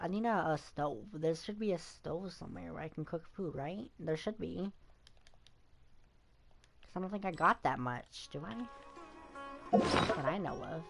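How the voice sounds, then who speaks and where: A woman's voice: lowish voice, fairly bright tone. A woman giving casual gameplay commentary as a game streamer, rambling and thinking aloud in informal, slangy speech.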